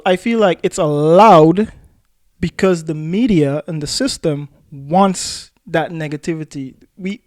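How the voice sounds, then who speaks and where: Guy with a pretty high voice talking opinions on a podcast, repeats himself, really dry close sound. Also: darkish tone, dry recording. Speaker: podcast co-host. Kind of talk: casual opinion discussion.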